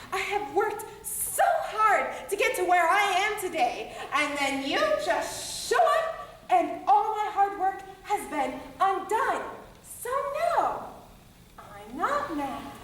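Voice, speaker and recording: A woman's voice: very high-pitched, sing-song, slow pace, fairly bright tone, slight background noise, full character voice. Woman playing a bitter, jealous young actress. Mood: sarcastic. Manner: sarcastic, theatrical, ranting. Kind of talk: amateur comedy sketch acting. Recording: very echoey room, mic far off.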